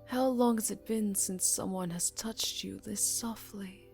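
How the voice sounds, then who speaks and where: Vampire countess played by a woman, breathy whisper, unhurried, predatory yet tender, coaxing, ASMR roleplay, faint music behind. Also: mid-range voice, steady tone, a little mellow, voice pushed up. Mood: tender, seductive. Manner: slow, breathy, intimate, coaxing.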